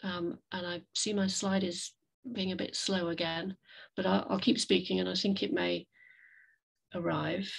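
A woman giving an online slide talk as a guest speaker over a video call, with hesitant, reflective, measured phrasing. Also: lowish voice, flat delivery, creaky, husky, rough recording. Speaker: guest speaker, climate café facilitator. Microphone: video call microphone.

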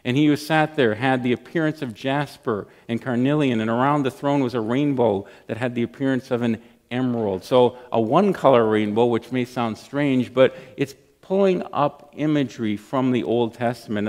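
A middle-aged man preaching a sermon from a church lectern, reading scripture and praying with earnest emphasis and pauses. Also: mid-range voice, darkish tone. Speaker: pastor. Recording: long church hall reverb.

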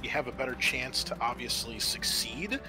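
A man's voice: mid-range voice, expressive, normal speed. A man acting as game master, patiently explaining tabletop roleplaying rules in a casual, conversational way. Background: music.

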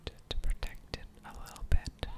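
A woman whispering ASMR game commentary in a breathy voice with soft mouth sounds, musing aloud over each move. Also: moderate pace. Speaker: game streamer, ASMR creator.

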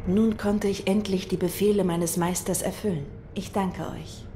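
A composed physician played by a woman speaks at a medium pitch in a formal, reserved way. She is dutiful, an audio drama figure with music clearly behind her. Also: fairly even intonation, moderate pace, lightly acted.

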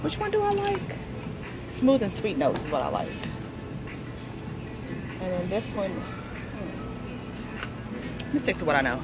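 A woman's voice: medium pitch, wildly swooping pitch, takes it slowly, pauses often, loud music behind the voice. An American woman in her thirties chatting casually and familiarly to her viewers as a lifestyle vlogger. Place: car, then a large store.

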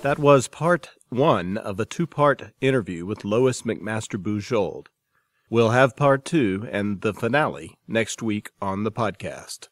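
A man's voice: fairly low pitch, animated, a little slow. A man hosting a podcast, making a scripted announcement. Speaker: podcast host.

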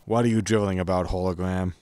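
An actor playing a grumpy, exasperated spaceship captain in a sci-fi comedy audio drama, blunt and sardonic. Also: male voice, low voice, steady tone, fast, darkish tone, no room sound, close to an everyday voice. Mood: impatient. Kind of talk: science fiction comedy audio drama acting.

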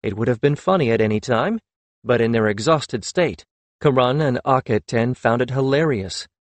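A man's voice: medium pitch, animated, normal speed, no room sound. Man narrating a fantasy audiobook, very dry sound.

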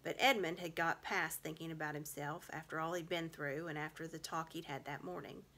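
A woman's voice: low-pitched, fairly even intonation, fairly quick, pushed and bright. A woman in her thirties narrating a storybook expressively, recorded in a room at home. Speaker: storybook reader. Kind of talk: expressive read-aloud narration.

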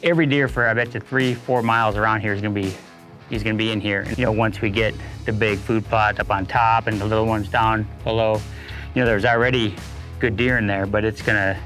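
A man with a fairly low voice talks casually as a hunting land agent would. His delivery is emphatic and a bit repetitive, with faint music underneath. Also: a bit bright. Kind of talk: casual outdoor chat about land. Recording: music bed behind the voice.

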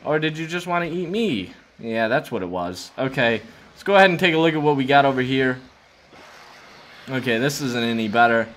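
A man in his twenties giving excitable, dramatic and jokey gameplay commentary as he hosts a gaming video. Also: medium pitch, brisk. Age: 20s. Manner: dramatic, jokey, talks to game characters.

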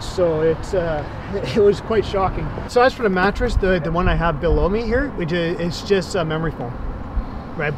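A man chatting casually inside his parked minivan, rambling with many fillers and ending sentences with "right?". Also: high-pitched. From Canada. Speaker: interviewee. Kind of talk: casual chat. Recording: vehicle and street noise behind. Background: outdoor traffic ambience.

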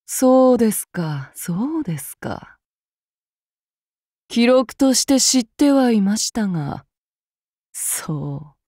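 Cold, unstable young samurai avenger for a game. Very slow, dry studio read. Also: female voice, fairly high pitch, no echo, full character voice. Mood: measured. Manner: slow, measured, calm then suddenly fearful. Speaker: voice actress for a game character. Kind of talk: game character voice lines.

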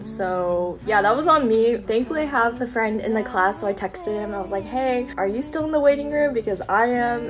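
A young woman, a college student, talking casually to her camera about her day, chatty and encouraging, with lots of fillers. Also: fairly high pitch. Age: young adult. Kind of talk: casual vlog talk to camera.

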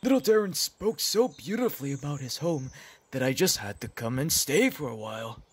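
A man's voice: mid-range voice, lively intonation, normal speed, husky. A man voicing a jovial, grandfatherly old Santa who can scold theatrically, in a cartoon comic dub.

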